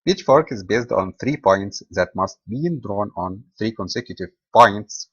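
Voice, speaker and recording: A man's voice: lowish voice, a bit bright, slightly rough, no echo, dull audio. Man from Egypt giving a webinar. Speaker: trading webinar host. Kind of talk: scripted webinar lecture.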